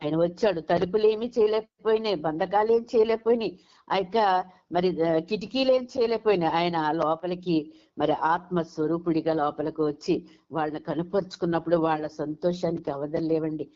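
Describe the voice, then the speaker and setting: A woman's voice: on the low side, fairly even intonation, moderate pace, warm tone. A woman preaching a sermon over a conference call, retelling Bible stories and often restarting her phrases. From Andhra Pradesh, India. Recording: dull, lo-fi conference call audio.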